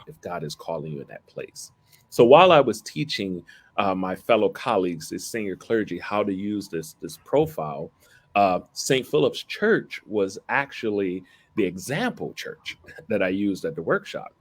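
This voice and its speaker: A man who is an Episcopal priest, raised in Philadelphia, answering interview questions in an earnest, storytelling way with small laughs, over a video call. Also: on the low side. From Philadelphia, family from Barbados.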